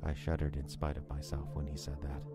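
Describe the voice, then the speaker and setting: An actor playing a sober, uneasy narrator in an audio drama, telling events in the first person in a measured, literary way. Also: male voice, extremely low pitch, monotone, music in the background. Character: sober male narrator, uneasy listener to Ovid's tale.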